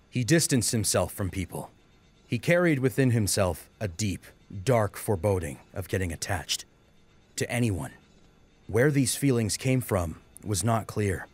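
A man's voice: fairly low pitch, husky. A man narrating a scripted dramatic story as an audiobook.

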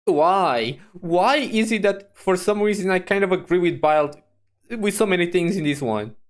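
A woman's voice: lowish voice, expressive, normal speed. A woman reacting to a video as a VTuber, musing aloud in a casual, hesitant, repetitive way.

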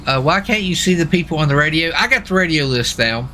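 A man's voice: fairly high pitch, animated, fast talker, bright, forceful tone, a little hiss or hum. A man chatting casually in folksy, colloquial phrasing while roleplaying a lawman in a video game. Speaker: streamer playing a US marshal in GTA roleplay.

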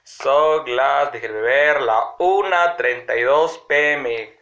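Raspy young man with a cold. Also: mid-range voice, slow pace, fairly bright tone. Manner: rambling, chatty, addressing viewers directly. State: sick with a cold, congested. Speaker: vlogger. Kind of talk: casual vlog to camera. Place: small room in a house, indoors. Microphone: phone camera at arm's length. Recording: dull lo-fi phone audio.

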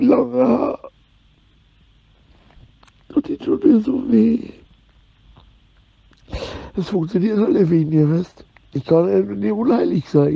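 Rambling man with gravelly, husky voice. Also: high voice, no echo. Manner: rambling, repetitive, slangy. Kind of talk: rambling informal monologue. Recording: dull lo-fi recording.

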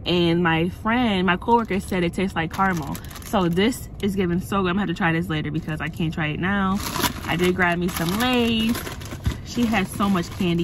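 A young woman, chatting casually to camera in a vlog and calling her viewers y'all. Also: lowish voice. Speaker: vlogger. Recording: noisy background.

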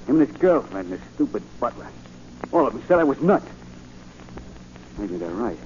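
An actor playing a jumpy, shady small-time crook with rough, slangy speech, in 1940s radio drama style. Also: male voice, mid-range voice, sing-song, moderate pace, darkish tone, natural voice. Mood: startled, confused. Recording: muffled, lightly noisy 1940 radio recording.

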